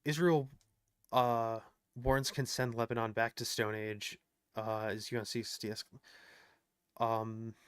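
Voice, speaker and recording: A man's voice: mid-range voice, flat delivery, slow pace, long pauses, clean tone, dry recording, clean studio sound. A man commenting on clips in a casual, indignant way, thinking aloud with lots of fillers and restarts. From United States.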